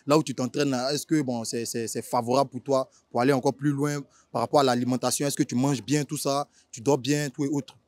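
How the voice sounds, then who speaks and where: A male interviewer with an Ivorian accent talks in a mid-pitched voice, recorded dry and close. He is chatty and informal, peppering questions with slang and filler tags as he rewords them. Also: darkish tone, no echo.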